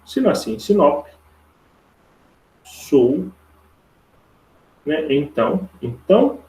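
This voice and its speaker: A Brazilian man with a creaky voice teaching a live online logic lesson step by step, informally, repeating himself and checking for agreement with tag questions. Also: a little high, very animated, extremely slow, dark tone. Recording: roomy, echoey online class audio.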